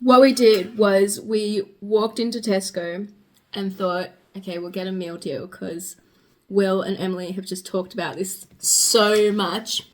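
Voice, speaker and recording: A woman's voice: mid-range voice, steady tone, measured pace. A young woman with an Australian accent, chatting casually to a travel vlog in a wry, rambling, giggly way. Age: young adult. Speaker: travel vlogger. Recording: music behind the voice.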